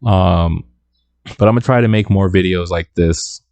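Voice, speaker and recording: A young man with a soft, deep voice, casually giving music career advice to his viewers and often checking in with "right?". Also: moderate pace, creaky, no room sound. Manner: relaxed, conversational, repeats points with "right?".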